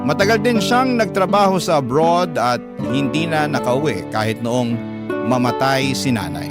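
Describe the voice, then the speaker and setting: Male drama narrator, medium pitch, scripted first-person storytelling, prominent guitar music bed. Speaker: radio drama narrator reading a letter sender's story. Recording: loud guitar music under voice. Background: plucked guitar music.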